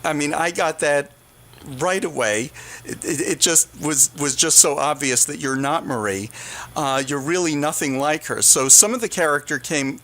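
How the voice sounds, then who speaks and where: A man hosting a conversational radio interview, asking questions in a somewhat halting way. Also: on the high side, sharp tone. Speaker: radio host. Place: radio studio.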